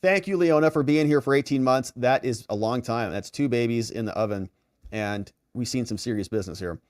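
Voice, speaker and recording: A man's voice: mid-range voice, brisk, no room sound. Upbeat chummy male streamer, close dry sound. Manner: chummy, effusive, addressing viewers as friends. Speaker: host of a live political and legal commentary stream. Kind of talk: casual livestream sign-off, reading viewer messages and shout-outs.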